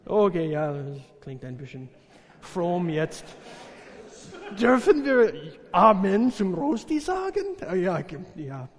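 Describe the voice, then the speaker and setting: A middle-aged American man with a notably high voice preaches a sermon in a language he learned later, his native English showing through. He halts often and fills gaps with "uh" while joking warmly with his listeners. His voice rings through a church hall with a long, echoing tail. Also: big pitch swings. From USA. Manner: halting, searching for words, many fillers, jokes with congregation. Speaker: visiting missionary preacher.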